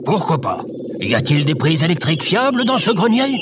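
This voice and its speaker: A man's voice: fairly high pitch, wildly swooping pitch, brisk, background noise. A man playing eccentric, pompous scarecrow and tin-man characters, musing theatrically in a scripted audio drama. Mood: pondering, grand. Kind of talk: scripted audio fiction acting.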